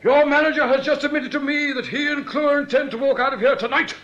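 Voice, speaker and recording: A man's voice: very high-pitched, steady tone, fast talker, fairly bright tone, muffled recording. An actor in a radio play playing an agitated, defiant honest bank man, cornered, urgent and indignant. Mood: urgent alarm. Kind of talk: radio drama acting.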